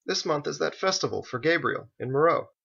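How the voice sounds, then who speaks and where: A man's voice: on the high side, expressive, a little fast, vocal fry, bright, forceful tone, husky, voice pushed up, heavily acted. A man voicing a polite, reserved younger man with a formal manner in an audiobook. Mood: courteous, restrained. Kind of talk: acted audiobook dialogue. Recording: dull lo-fi recording.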